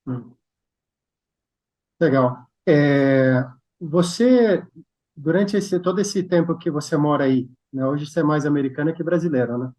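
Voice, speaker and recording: A man's voice: a little high, a little slow, darkish tone. A man interviewing a guest, asking long, rambling questions with restarts and tag questions. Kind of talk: interview conversation.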